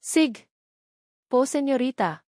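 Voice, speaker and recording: A woman's voice: high-pitched, animated, slow pace, darkish tone, no room sound, clean studio sound, pitched above the performer's own voice. A woman with a Filipino accent voicing a polite, deferential female servant in an audiobook story. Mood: obedient. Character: deferential female servant companion to a young lady.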